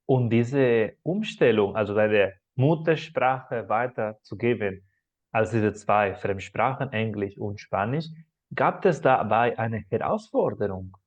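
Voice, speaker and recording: A man's voice: lowish voice, a little mellow. Male podcast host, muffled audio. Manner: measured, welcoming questions. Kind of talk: podcast interview. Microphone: video call. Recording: dull, lo-fi sound.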